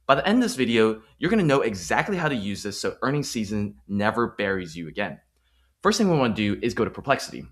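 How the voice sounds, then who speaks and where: A man's voice: medium pitch, a bit bright. A man hosting an explanatory tutorial, talking the viewer through each step in an emphatic, promotional way. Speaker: host of a finance tutorial. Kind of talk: explanatory screen tutorial with sales-pitch phrasing.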